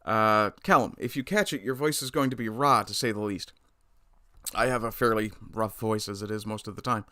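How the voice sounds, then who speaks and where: Male streamer host, blunt and profane, bright forceful tone, dry close mic. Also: on the low side, moderate pace, no echo. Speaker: livestream commentator. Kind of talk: casual political commentary, reading chat.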